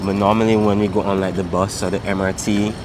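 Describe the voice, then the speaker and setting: A man's voice: sits low, quick pace, noisy background. A young man from Saint Vincent and the Grenadines, chatting in a casual interview with lots of "um" and "like". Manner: relaxed, many fillers like "um" and "like".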